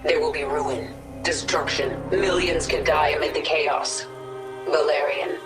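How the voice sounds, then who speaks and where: A woman's voice: deep voice, fairly even intonation, measured pace. An actress playing a vengeful, commanding alien swarm queen in a video game, imperious and resolute, with an echoing processed voice. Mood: grim, resolute. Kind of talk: video game cinematic acting. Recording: echoing, loud music behind.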